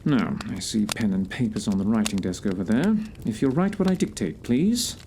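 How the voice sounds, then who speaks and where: A man's voice: lowish voice, animated, normal speed, mellow, a little hiss or hum. A man acting a shrewd, composed, commanding detective in a formal, precise audio theatre style. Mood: commanding, methodical.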